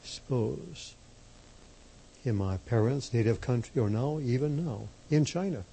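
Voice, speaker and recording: Slow gravelly priest guy, dark husky voice, slovak first language, hammers and repeats his points. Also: medium pitch, expressive, a little hiss or hum, rough recording. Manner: emphatic, measured, repeats key phrases. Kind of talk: slow deliberate sermon.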